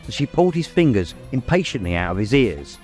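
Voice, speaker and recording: A man's voice: medium pitch, very animated, fairly quick, a little mellow, faint music behind. A man narrating a story in a dramatic, scripted audiobook style.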